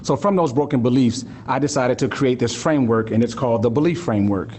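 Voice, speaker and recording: A man's voice: mid-range voice, quick pace, a little mellow, a little hiss or hum. A man giving a live talk as a school district director, earnest and emphatic, often asking the audience rhetorical questions and checking in with "right?".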